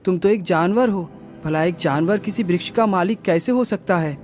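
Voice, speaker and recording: Guy doing a young curious prince in a kids cartoon, mellow dark-ish voice, asks wonderingly. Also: sits high, normal speed, lightly acted. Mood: puzzled. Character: young prince, curious, good-natured traveller. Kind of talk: Hindi moral-story cartoon acting.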